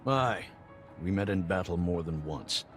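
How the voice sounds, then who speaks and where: An actor playing a gracious, plain-spoken fort general in a video game, formal and declamatory in delivery. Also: male voice, sits low, lively intonation, unhurried. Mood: warm, somber. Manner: formal, old-fashioned, declamatory. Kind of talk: dramatic video game cutscene acting. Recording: loud music under the voice.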